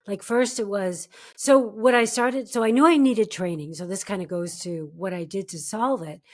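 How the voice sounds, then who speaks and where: A middle-aged woman, an actor, telling her story as a guest in a casual interview, laying out each step in turn. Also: medium pitch. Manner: storytelling, breaks plans into steps.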